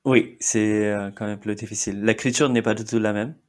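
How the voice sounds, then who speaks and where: A man's voice: fairly low pitch, steady tone, a little fast. A man in his thirties from India, whose first language is Marathi, chatting as a podcast guest with many fillers and short laughs. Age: 30s. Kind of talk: casual interview chat.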